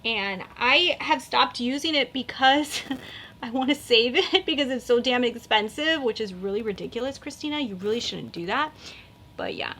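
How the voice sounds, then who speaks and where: Woman, 30s, beauty vlogger, casual rambling chat, bright, slightly high voice. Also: expressive, moderate pace, slight background noise. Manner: chatty, rambling, many likes and fillers. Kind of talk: casual chat while doing makeup.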